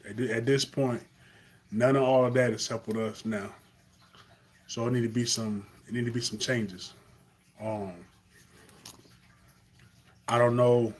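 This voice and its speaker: A man's voice: medium pitch, steady tone, unhurried, long pauses. A young man from Carolina with a Southern accent, talking earnestly off the cuff in a live stream monologue, with fillers and restarts.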